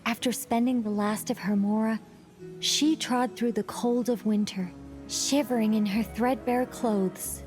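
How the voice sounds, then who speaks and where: A woman's voice: on the high side, fairly even intonation, a little slow, darkish tone, soft background music, lightly acted. An actress playing a theatrical, earnest young woman storyteller in a video game, formal in diction and fussy about her tale. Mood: dramatic narrating.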